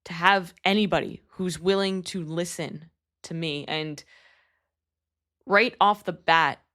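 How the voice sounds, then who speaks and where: A woman's voice: on the low side, steady tone, slow, fairly bright tone. Earnest, candid woman of about thirty. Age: 30s. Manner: earnest and candid, with casual swearing. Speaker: podcast host. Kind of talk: personal podcast monologue.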